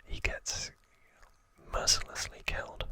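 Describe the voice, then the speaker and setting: A man hosting ASMR, narrating trivia in a breathy, hushed whisper with unhurried pauses. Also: no room sound. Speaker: ASMR host.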